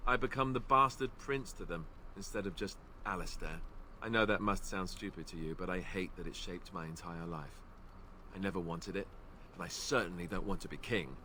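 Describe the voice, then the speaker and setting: An awkward, earnest young knight talks in a hesitant, self-effacing ramble in a game. His voice sits a little low. A faint hum runs behind him. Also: moderate pace, pushed and bright. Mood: uneasy. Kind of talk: game character dialogue.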